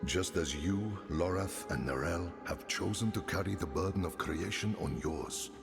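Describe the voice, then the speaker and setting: An actor playing a kindly older town leader who speaks in a fatherly, unhurried way. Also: low-pitched, creaky, long reverb tail, music in the background. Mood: calm kindly. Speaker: town elder and adoptive father.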